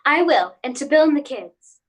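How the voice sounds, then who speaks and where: Woman as a polite indulgent mom in a radio drama, high voice, very animated pitch. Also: moderate pace. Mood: warm, polite. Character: polite, indulgent housewife and mother. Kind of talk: radio play acting.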